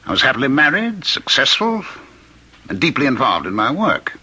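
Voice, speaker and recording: An actor in a radio drama playing a cultured, eerily courteous condemned murderer who was once a professor, speaking in deliberate, theatrical phrases. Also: male voice, mid-range voice, huge pitch swings, sharp tone, slightly rough, exaggerated voice, low-quality recording. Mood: intense, haunted.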